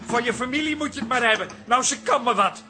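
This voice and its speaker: A high, bright man's voice over faint music. He is an aggrieved wedding photographer in a radio comedy, complaining and pleased with himself. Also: fast talker, full character voice. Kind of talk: radio comedy drama acting.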